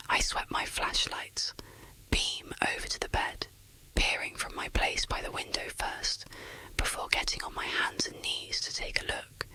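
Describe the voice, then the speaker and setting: Someone narrating an ASMR horror story in a whisper, with deliberate, dramatic delivery. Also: pushed and bright, low-quality recording.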